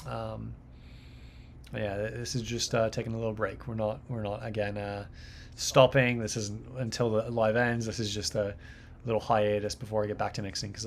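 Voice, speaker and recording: A man's voice: on the low side, gravelly. Rambling young man hosting a stream. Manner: rambling, filler-heavy, repeats words. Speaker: livestream host, mixing engineer. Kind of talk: casual livestream talk.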